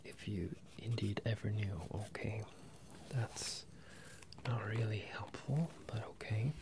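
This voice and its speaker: A man in his twenties softly whispering and murmuring a halting ASMR roleplay as an apologetic wizard professor, close to the microphones. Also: background noise. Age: 20s. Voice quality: soft, breathy whispering and low murmuring. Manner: hesitant, halting, in-character delivery as a wizard professor. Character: bemused, apologetic wizard professor addressing a student. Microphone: close stereo microphones, ear to ear.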